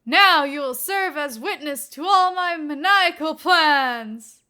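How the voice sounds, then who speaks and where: A woman's voice: slow, pushed and bright, full character voice. A woman voicing a bombastic skinny man who plays a theatrical, maniacal prank villain in a story reading. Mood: gleeful, maniacal. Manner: theatrical, maniacal, booming delivery. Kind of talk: fan fiction audiobook character voice.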